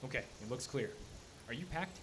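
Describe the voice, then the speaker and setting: A man's voice: mid-range voice, lively intonation, fairly quick, noisy background, natural voice. A man acting in a live audio drama as a sensible, skeptical lawman with a dry, reassuring way of talking. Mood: firm.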